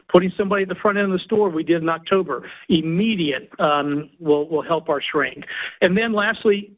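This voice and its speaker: An older man, a company executive, gives halting, folksy unscripted business remarks on an earnings call. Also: sits high. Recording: thin, narrow-band phone conference line.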